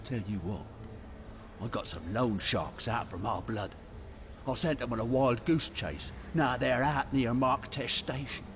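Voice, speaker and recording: A man's voice: mid-range voice, a little slow, slight background noise, lightly acted. A man playing a shifty, brash space barkeeper who wheedles and bargains in a video game. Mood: shifty, bargaining.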